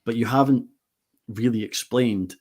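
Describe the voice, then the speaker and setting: A man's voice: medium pitch, measured pace, a little mellow. A man hosting a casual podcast, asking probing, skeptical questions. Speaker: podcast host.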